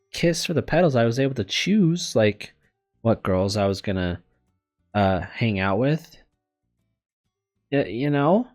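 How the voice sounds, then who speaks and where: A man's voice: medium pitch, a little mellow. A man talking casually as a let's player, rambling hesitantly with sighs and trailing thoughts. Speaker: let's player VTuber host. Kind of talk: casual rambling vlog commentary.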